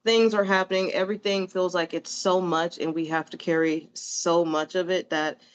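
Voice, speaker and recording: A woman's voice: fairly low pitch, little pitch movement, moderate pace, lo-fi sound, slight background noise. A woman doing a casual, rambling tarot reading in a chatty, reassuring way, a little sniffly from allergies. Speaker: tarot reader.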